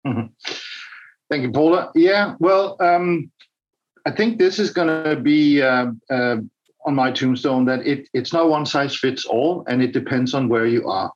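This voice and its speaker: A man's voice: medium pitch, unhurried, highs cut off. A man from Denmark, answering as a podcast guest, hesitant and often repeating words while he thinks. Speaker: podcast guest, business director. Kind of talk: interview answers.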